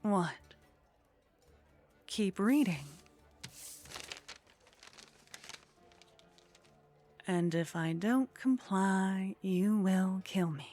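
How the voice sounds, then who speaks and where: A woman playing a haughty, flirtatious vampire in an intimate ASMR roleplay, speaking softly and close to the microphone with a teasing, condescending manner that often drops toward a whisper. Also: medium pitch, slow, soft background music. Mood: disdainful, wry. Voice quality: soft, breathy.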